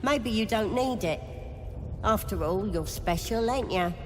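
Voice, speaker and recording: A woman's voice: mid-range voice, expressive, unhurried, a little mellow, slight background noise, close to an everyday voice. A woman playing a coarse, zealous goblin priestess in a video game, wheedling and bossy in folksy speech. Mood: sly, commanding. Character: zealous goblin priestess, sly, commanding, folksy cult leader. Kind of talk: video game character acting. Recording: long reverb, music clearly behind.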